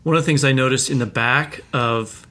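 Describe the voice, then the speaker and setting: A man's voice: mid-range voice, moderate pace, bright, forceful tone. A man co-hosting a literary podcast, asking rambling, hesitant questions full of fillers. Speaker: podcast co-host. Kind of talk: casual interview questions.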